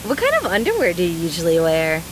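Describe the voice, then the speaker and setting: A woman's voice: on the low side, wildly swooping pitch, fast talker, slight background noise, low-quality recording. A woman voice-acting a brash, mischievous young woman fighter from a video game, teasing in a playful, folksy, mock-serious way. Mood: sly.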